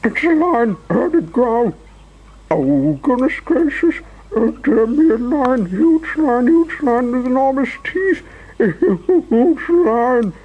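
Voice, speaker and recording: A man's voice: extremely high pitch, sing-song, moderate pace, few pauses, slightly rough, phone-quality sound, pitched above the performer's own voice, full character voice. A man voicing a tiny, hysterical storybook character who shrieks, stammers and dramatizes every fright. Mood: hysterical terror. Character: tiny, panicky, hysterical male storybook character Mister Jelly.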